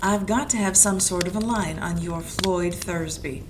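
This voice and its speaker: Woman doing a hardboiled lady private eye, dry and sarcastic, clipped lines, steady hiss behind her. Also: on the low side, a little slow, close to an everyday voice. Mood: cool. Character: tough wisecracking female private detective. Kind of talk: amateur audio drama acting. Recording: clear background noise.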